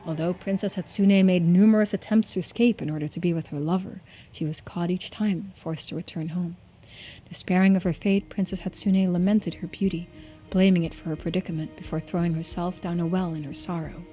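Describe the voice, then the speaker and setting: A woman streaming a game, reading its text aloud in a measured way with muttered asides and small stumbles. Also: fairly low pitch, fairly even intonation, dark tone, faint music behind, rough recording.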